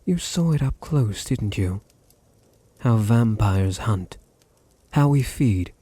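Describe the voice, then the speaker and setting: Deep, slow British vampire master, voiced by a man. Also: expressive, mellow, no room sound, exaggerated voice. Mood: possessive, menacing. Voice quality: deep, breathy, husky. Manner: languid, condescending, purring endearments. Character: male vampire master, possessive, silky, menacing, teasing. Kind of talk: intimate ASMR roleplay.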